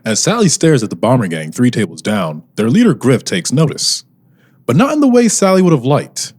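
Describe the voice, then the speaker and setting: A man's voice: medium pitch, very animated, moderate pace, darkish tone, husky. A man narrating a scripted radio drama in a wry, tongue-in-cheek way.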